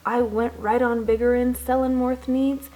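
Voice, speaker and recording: A woman reading a rhymed children's storybook aloud in an expressive, rhythmic way with dramatic emphasis. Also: fairly high pitch, moderate pace. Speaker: children's storybook reader, teacher.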